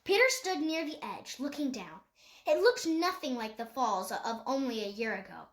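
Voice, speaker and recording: A woman reads a children's novel aloud, expressive and slowing for drama. Her voice is high, and the room around her is echoey. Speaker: reader.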